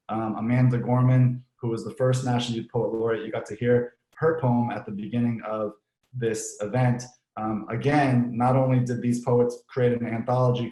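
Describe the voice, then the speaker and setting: A man hosting an organization's virtual ceremony, giving closing thanks in an unscripted, relaxed way with many ums and restarts, his voice slightly hoarse. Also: fairly low pitch. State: slightly hoarse, clearing throat. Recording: echoey room.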